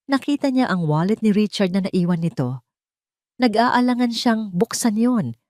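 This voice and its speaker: A woman's voice: fairly low pitch, darkish tone, no echo, clean studio sound. A Filipino woman narrating a scripted audio drama in a dramatic storytelling style.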